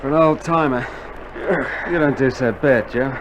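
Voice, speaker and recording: A man's voice: moderate pace, lo-fi sound, close to an everyday voice. Cheeky young Aussie soldier, acted by a man, very noisy. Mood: tired, joking. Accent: Australian. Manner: slangy, laconic, then excitable. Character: younger Australian infantry soldier, cheeky and excitable. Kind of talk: 1960s radio drama acting. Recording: very noisy old vinyl with artillery rumble. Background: artillery and battle sound effects.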